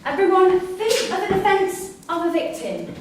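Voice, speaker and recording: A high-pitched woman's voice carries from far off in a heavily reverberant hall. An actress plays a weary prison staffer, firm and exasperated, in a play reading. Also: sing-song, normal speed. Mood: exasperated, stern. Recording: distant echoey hall recording, faint noise.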